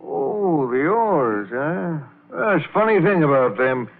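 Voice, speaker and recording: A man's voice: a little high, big pitch swings, a little slow. A folksy older American man, cautious and a little sly, talking in homespun slang. It is old radio drama, heard through a thin, tinny band. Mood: sly, fatherly. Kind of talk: 1940s-50s radio drama acting.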